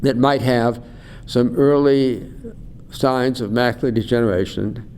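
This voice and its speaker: An older man, an eye researcher, explaining slowly and carefully in an interview, acting out doctors and patients as he goes. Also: steady tone, darkish tone. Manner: measured, acts out doctor and patient. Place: conference venue. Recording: faint low rumble behind.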